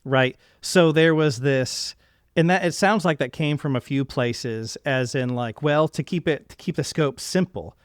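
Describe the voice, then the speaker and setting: A man's voice: fairly high pitch, measured pace, smooth, no echo, clean studio sound. A man hosting a podcast interview in a casual way, thinking aloud as he builds and rephrases his questions.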